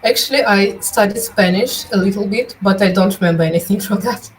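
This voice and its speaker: A woman's voice: medium pitch, a little fast, slight background noise, poor audio. A teenage girl from Moscow, a native Russian speaker, answering interview questions in English with frequent hesitations. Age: teens. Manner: hesitant, many uh fillers. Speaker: student guest.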